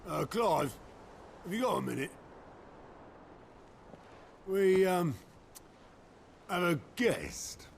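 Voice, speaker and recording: A man playing a hesitant, awkward companion in a video game, speaking haltingly. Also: high voice, sing-song, vocal fry, a little hiss or hum, full character voice. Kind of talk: video game cutscene acting.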